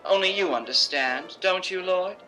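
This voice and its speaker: A man's voice: high-pitched, moderate pace, pushed and bright. A man playing an imperious middle-aged female stage diva in a radio drama, wry and declamatory. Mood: insistent. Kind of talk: recreated 1950s radio drama acting.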